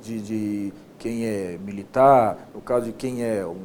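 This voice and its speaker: A Brazilian man hosting a casual interview, phrasing his questions in a halting, repetitive way with frequent checking tags. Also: a little mellow. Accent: Brazilian Portuguese. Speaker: interview host, state deputy. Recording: faint rumbling background noise.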